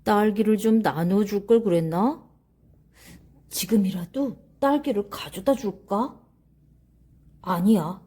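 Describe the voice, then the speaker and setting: A woman's voice: mid-range voice, takes it slowly, pauses often, dark tone, breathy edge, pitched below the performer's own voice. A woman voicing a greedy, grumpy man brooding to himself in a children's storybook. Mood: hesitant, brooding.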